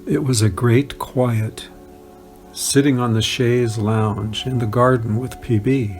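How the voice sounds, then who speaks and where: Man reading memoirs with gravelly creak, music behind. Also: mid-range voice, steady tone, takes it slowly, a little mellow. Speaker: reader of written testimonials. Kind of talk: narrated first-person recollections, read aloud.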